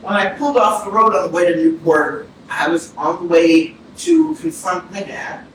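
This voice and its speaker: An actor playing an evasive, shaken young man under police questioning in a staged reading, halting and defensive. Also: sits high, expressive. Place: indoor arts centre stage, staged reading. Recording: echoey theatre from far off, noisy.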